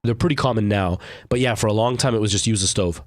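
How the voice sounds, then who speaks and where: Young guy streaming from home, stuffy nose, kinda low voice, dry close sound, rambly. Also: quick pace, a little mellow, no room sound. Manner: rambling, chatty, frequent fillers and restarts. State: stuffed up with a lingering cough. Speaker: game streamer. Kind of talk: casual live-stream chat with viewers.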